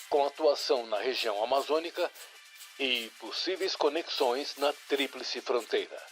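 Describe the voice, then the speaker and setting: Actor as brazilian radio news guy, formal n polished, medium pitch, faint music under him. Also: close to an everyday voice. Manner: formal, deliberate. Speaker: radio newsreader. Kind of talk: scripted radio news bulletin.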